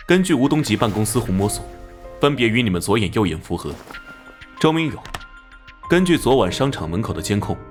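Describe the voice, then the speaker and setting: An actor playing a firm, resolute police captain with an authoritative manner in an animated crime drama. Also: male voice, mid-range voice, lightly acted. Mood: stern questioning. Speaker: police captain giving orders. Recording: music behind.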